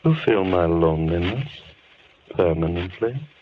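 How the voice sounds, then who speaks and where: A man's voice: low voice, lively intonation, unhurried, husky, exaggerated voice. Sinister, formal madman on old radio. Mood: politely menacing. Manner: menacingly polite, precise, formal. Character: sinister, lonely madman holding two women captive. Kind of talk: 1940s radio horror acting. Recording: muffled old radio recording with hiss.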